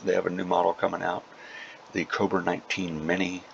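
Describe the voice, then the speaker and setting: A man hosting a casual prepper video update, talking informally in short, halting phrases. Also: low voice, completely flat delivery, creaky. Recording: dull lo-fi sound with faint noise.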